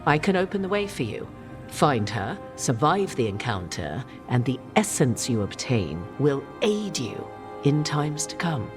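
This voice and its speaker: An actress playing a cryptic, proud woman mage and keeper of old secrets, who speaks in a measured, archaic and aloof way in a video game cutscene. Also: a bass voice, big pitch swings, over music, heavily acted.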